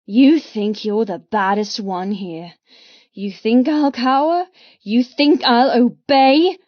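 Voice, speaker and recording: A woman's voice: a little high, slow pace, no echo, lo-fi sound. A woman voicing a defiant young captive heroine in an audiobook, with emphatic, impassioned delivery in short, spat phrases. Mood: seething defiance. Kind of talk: dramatic audiobook character dialogue.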